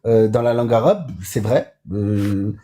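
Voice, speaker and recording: A man's voice: fairly low pitch, expressive, measured pace, dark tone. A man born in Europe in an online voice debate, conciliatory and hesitant, often repeating his words.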